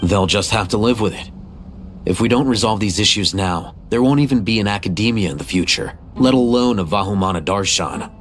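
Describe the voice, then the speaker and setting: An actor playing a composed, pragmatic scholar in a video game, speaking in a measured, matter-of-fact way. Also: male voice, fairly low pitch, slight background noise, close to an everyday voice. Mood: firm.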